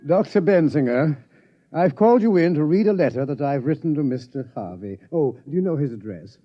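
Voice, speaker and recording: An actor playing a polite, flustered scientist in charge of an observatory, hesitant and stammering, in a radio comedy. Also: male voice, a little high, expressive, fairly quick, no echo, soft background music. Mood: mild, pleased. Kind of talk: mid-century radio comedy acting. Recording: dull, hissy old radio recording.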